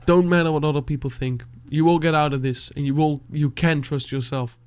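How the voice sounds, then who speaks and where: A Dutch man, a native Dutch speaker, talking softly and haltingly, searching for words and sighing as he reflects after a song. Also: a little high, steady tone, clean tone. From Netherlands. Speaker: beatboxer reacting to music. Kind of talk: reflective reaction talk.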